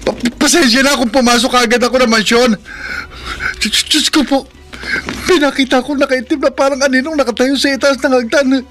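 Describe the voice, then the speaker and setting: High-pitched, jittery taxi driver. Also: male voice, steady tone, a little fast, music in the background. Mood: terrified. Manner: stammering, breathless, self-narrating. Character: frightened, jittery married male taxi driver. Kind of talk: horror radio drama acting.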